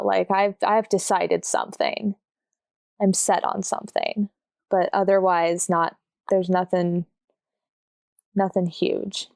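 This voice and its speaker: A woman's voice: mid-range voice, gravelly, a little mellow, dry recording, studio quality. A woman playing a tabletop game, casually improvising a description of her character.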